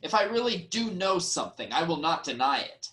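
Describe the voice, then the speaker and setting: A man playing a wronged, reasoning nobleman in a formal, measured reading of ancient Greek tragedy. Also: a little high, big pitch swings, a bit bright. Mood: reasoning, defensive. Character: wronged, reasoning nobleman, brother-in-law of the king.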